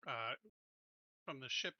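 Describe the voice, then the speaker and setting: A man with a very bright, sharp voice chats casually as a player in a tabletop game, stopping and restarting his sentences. The audio is dry and somewhat dull. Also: medium pitch, no echo. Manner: halting, casual, trailing off.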